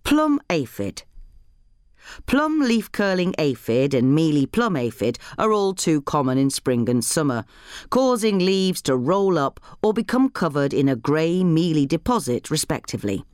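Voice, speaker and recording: A woman's voice: low-pitched, very animated, unhurried, darkish tone, no room sound, clean studio sound. A woman reading an informational guide as scripted narration. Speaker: podcast reader of a gardening guide.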